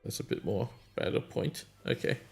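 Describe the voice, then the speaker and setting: A man's voice: moderate pace, soft background music. A man streaming a mobile game, chatting casually and answering his chat in a rambling way. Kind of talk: casual live-stream chat while gaming.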